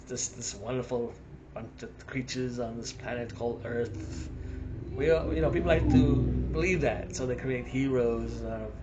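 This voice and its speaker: A man's voice: medium pitch, background noise. A man with a South African accent rambling through a casual monologue, chuckling between his thoughts.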